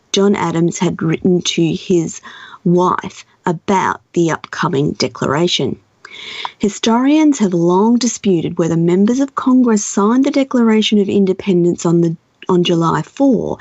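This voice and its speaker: A woman radio host with a croaky voice, chatting and then reading out a history piece. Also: fairly low pitch, darkish tone, no echo, dull audio. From Australia. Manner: chatty, reads facts aloud steadily. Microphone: studio microphone.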